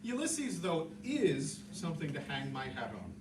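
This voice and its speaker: A man's voice: a little high, huge pitch swings, unhurried. Man playing a boastful Irish novelist, echoey room. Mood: jovial, proud. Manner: chatty, self-satisfied, wry asides. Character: James Joyce, a genial, boastful, digressing Irish novelist. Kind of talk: theatrical storytelling monologue to an audience. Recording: echoey, distant, noisy room recording.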